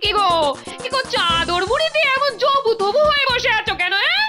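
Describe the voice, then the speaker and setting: A woman playing a sharp-tongued, teasing housewife in a kids' cartoon, chiding and full of questions. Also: extremely high pitch, animated, a little fast, over music. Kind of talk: Bengali kids' cartoon voice acting.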